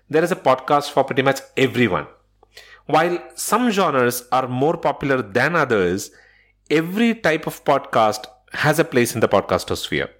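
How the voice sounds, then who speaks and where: A man with a medium-pitched voice hosts a scripted solo podcast. His intonation is very animated as he addresses listeners directly. The delivery is instructional, like a guide walking beginners through a subject. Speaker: podcast host.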